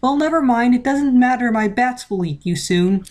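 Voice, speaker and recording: Pompous old vampire prince gloating in a storybook reading, a woman putting him on, kinda high and a bit loud. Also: lively intonation, moderate pace, lightly acted. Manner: pompous, theatrical villain speech. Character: lonely, grandiose male vampire prince, centuries old.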